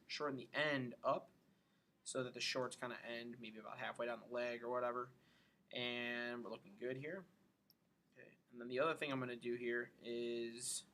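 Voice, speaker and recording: A man giving a casual software tutorial, thinking aloud informally as he works through each step. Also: mid-range voice, creaky, slight background noise.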